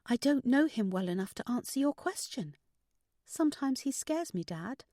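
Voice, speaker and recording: A woman's voice: fairly high pitch, lively intonation, moderate pace, dark tone, dry recording, clean studio sound, voice pushed up. A woman voicing a fragile, anxious married woman in an audiobook, hesitant, emotional and vulnerable. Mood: sad, confiding. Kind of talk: audiobook character dialogue.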